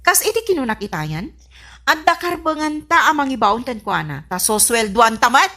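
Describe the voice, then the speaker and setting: A woman's voice: a little high, big pitch swings, normal speed, pushed and bright, full character voice. A woman acting a strict, irritable wife and mistress of the house in an Ilocano radio comedy-drama, with an Ilocano Filipino accent and a scolding, imperious manner. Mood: indignant, demanding. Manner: imperious, scolding, easily exasperated. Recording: music under the voice.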